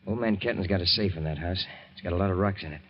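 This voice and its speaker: A man's voice: sits low, very fast, lo-fi sound, lightly acted. A man playing a menacing, slangy gangster boss in an old-time radio crime drama, coolly confident and taunting. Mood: smooth menace. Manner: slangy, tough-guy talk, taunting.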